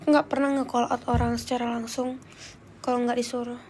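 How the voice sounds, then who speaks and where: High-voiced young woman, congested with flu. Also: flat delivery, a little fast, darkish tone, slight background noise. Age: young adult. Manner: informal, slangy, chatty. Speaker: livestreamer answering viewer comments. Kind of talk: casual chat.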